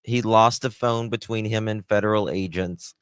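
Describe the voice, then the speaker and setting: A man's voice: fairly low pitch, steady tone, normal speed, smooth, no echo. A man hosting a casual live commentary, thinking aloud in a conversational way as he reacts and reads out comments. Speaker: host reacting to recordings and reading live comments.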